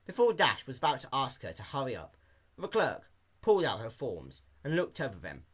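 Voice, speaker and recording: A man narrating a story as an amateur fan fiction reader, in an animated scripted style. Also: medium pitch, moderate pace, husky.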